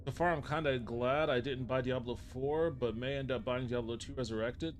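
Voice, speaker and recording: An upbeat male streamer at a middle pitch, talking casually while he plays. He greets and thanks viewers by name and swears casually. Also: moderate pace, a little hiss or hum. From Japan. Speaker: livestreamer. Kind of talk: casual live-stream gameplay chat.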